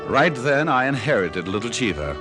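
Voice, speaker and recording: An actor playing a wry, cool private detective, narrating and talking in a hardboiled old radio drama. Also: male voice, medium pitch, sing-song, normal speed, music in the background, natural voice. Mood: cool narration. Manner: wry, understated.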